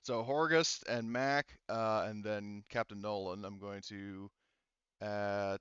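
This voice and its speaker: A man's voice: on the low side, a bit bright, clear, resonant tone, dry recording, dull audio. A man acting as game master, casually narrating a tabletop game and muttering to himself as he checks rules and counts.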